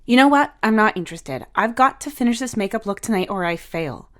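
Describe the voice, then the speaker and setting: A woman's voice: medium pitch, expressive, a little fast, fairly bright tone, pitched above the performer's own voice, close to an everyday voice. A woman voicing a bossy, hot-tempered teenage sister in a story, snappy, whiny and sarcastic. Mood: dismissive.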